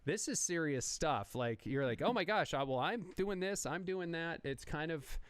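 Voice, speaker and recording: Guy hosting a chat show, jumps around a lot with restarts, smooth clear voice, dry. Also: fairly high pitch, moderate pace, dry recording. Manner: animated, rambling, lots of restarts. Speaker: podcast host and chef. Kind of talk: casual interview chat.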